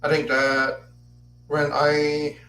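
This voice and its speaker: A man's voice: fairly high pitch, completely flat delivery, extremely slow, bright, forceful tone, distant mic. A man in his twenties hosting a solo podcast, who stutters and repeats words as he partly reads from a script. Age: 20s. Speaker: podcast host. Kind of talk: solo podcast talk, partly read aloud. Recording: echoey room, voice off-mic, faint noise.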